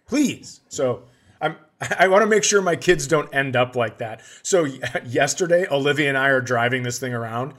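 A middle-aged man with a mid-pitched voice talks as a comedy podcast host. He tells stories in a casual, conversational way, swearing freely and acting out his own outbursts. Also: moderate pace. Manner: profane, animated when acting out his outbursts.

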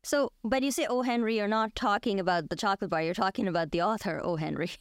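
A woman's voice: mid-range voice, fast talker, smooth, no room sound, clean studio sound. A woman hosting and introducing in a casual, conversational style. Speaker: host.

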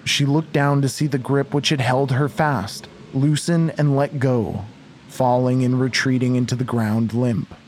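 A man narrating a scripted horror audio drama in a measured, literary storytelling manner. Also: medium pitch, fairly even intonation, darkish tone, a little hiss or hum, rough recording.

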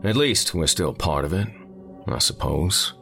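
Brainy, sardonic male colleague for audiobook fiction, done by a man: deep, wry, emphatic. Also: lively intonation, unhurried, creaky, fairly bright tone, faint music behind, exaggerated voice. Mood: wry, wistful.

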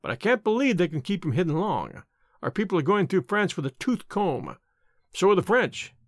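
A male reader acts out a small, cocky policeman in an audiobook story. He is cheerful and opinionated, speaking informally with animated swings of pitch. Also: moderate pace, dry recording, clean studio sound. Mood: cheerful, confident. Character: cheerful, cocky, ferret-faced little male police inspector.

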